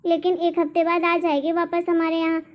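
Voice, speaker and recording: A very high-pitched older mother-in-law from a family cartoon, acted out by a woman. She frets and nags in a complaining way, and the sound is slightly dull and muffled. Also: completely flat delivery, a little fast. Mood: regretful. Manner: fretful, nagging. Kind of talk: Hindi cartoon family drama voice acting.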